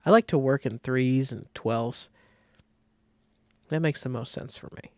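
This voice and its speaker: A man with a noticeably creaky, gravelly voice walks through a design tutorial in an informal way. He thinks aloud as he works, in an easy conversational style. The sound is close and dry, with very little room around it. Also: mid-range voice, a little slow, dry recording. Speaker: design software tutorial host. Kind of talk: casual screen-recorded tutorial.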